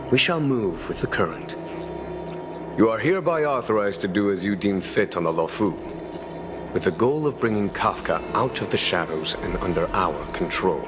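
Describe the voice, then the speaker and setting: Casting: a composed, shrewd military commander for a game, deep voice, formal diplomatic phrasing, music underneath. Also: male voice. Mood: composed, authoritative. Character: calm, courteous, shrewd male general commanding a starship's knights. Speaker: military leader. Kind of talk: scripted video game dialogue.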